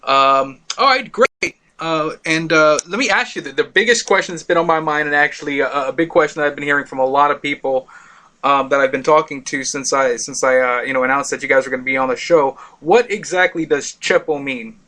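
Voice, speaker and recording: A man's voice: fairly high pitch, fairly quick, bright, forceful tone. A man from Miami hosting a casual talk radio show, rambling with many fillers.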